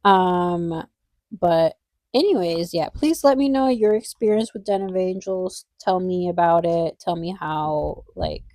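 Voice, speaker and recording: Young woman, low mellow voice, stuffed-up nose, rambling wistful vlog chat, dry close sound. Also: unhurried, dry recording. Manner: rambling, wistful, heavy on fillers. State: congested nose. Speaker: vlogger. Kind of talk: casual chat while drawing.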